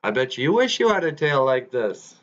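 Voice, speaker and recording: A man's voice: on the low side, expressive, measured pace, fairly bright tone, highs cut off. A man voicing a vain, easily offended beaver in an animal folktale. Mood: boastful.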